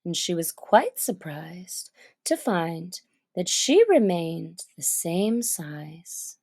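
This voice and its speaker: A woman narrating a children's story from a script, with expressive, deliberate and dramatic phrasing. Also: on the low side, breathy edge, no room sound, clean studio sound.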